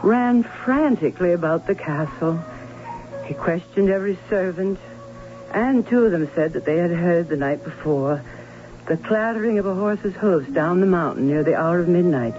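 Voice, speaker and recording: An actress playing a distraught Baron's sister in a radio drama, telling of a haunting in earnest, formal, dramatic tones. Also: female voice, lowish voice, expressive, moderate pace, a little mellow, faint music behind, lightly acted. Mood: grave distress. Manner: earnest, dramatic, formal old-fashioned storytelling. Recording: tinny narrow-band old radio with hiss and hum.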